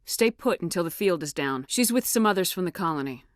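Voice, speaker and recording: A tough female military commander from a video game, voiced by an actress, speaking in a lowish register. Her delivery is firm, clipped and authoritative, recorded close and dry. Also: lively intonation, fairly quick, dry recording, lightly acted. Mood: businesslike, firm. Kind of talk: scripted video game dialogue acting.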